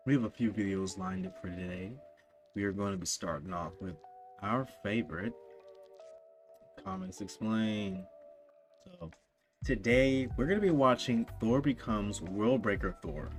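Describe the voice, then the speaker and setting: Laid-back slangy reaction host, lowish voice. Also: male voice. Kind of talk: casual reaction commentary.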